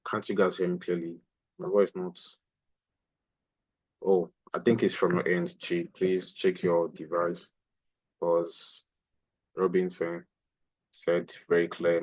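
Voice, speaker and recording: A man's voice: low-pitched, completely flat delivery, unhurried, pauses often, lo-fi sound. A man teaching a live online trading lesson, explaining a price chart and repeating phrases as he points things out. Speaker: trading instructor.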